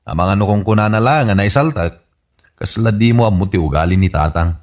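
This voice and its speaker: An actor as a deep-voiced grumbling son. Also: male voice, animated, fairly quick, close to an everyday voice. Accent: Ilocano. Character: grumbling grown son looking after his old father. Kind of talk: radio comedy drama acting.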